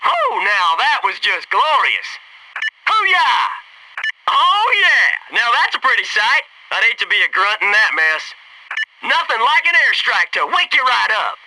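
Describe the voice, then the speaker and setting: A man's voice: very high voice, huge pitch swings, a little slow, distant mic, noisy background, exaggerated voice. An actor playing a cocky, wisecracking male Navy SEAL squadmate in a military video game, talking in brash, punchy banter. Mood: gleeful. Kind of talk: scripted video game squad radio lines.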